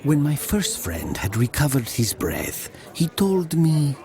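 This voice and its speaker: An actor playing a brooding, jealous young man, narrating his past in a slow, hushed audio drama style. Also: a little high, wildly swooping pitch, a crowd in the background, exaggerated voice. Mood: brooding, haunted. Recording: music behind the voice.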